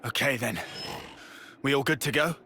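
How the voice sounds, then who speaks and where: A man's voice: mid-range voice, moderate pace, pushed and bright, slight background noise. A man playing a brash, bold young fighter in a video game cutscene. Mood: resolute.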